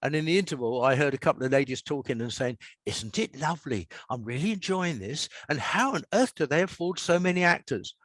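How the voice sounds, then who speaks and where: A man's voice: fairly high pitch, expressive, a little fast, few pauses, a bit bright, no echo. A man in his seventies with a southern English accent, telling anecdotes as an interview guest with dry, wry humour. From South London, England. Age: 70s.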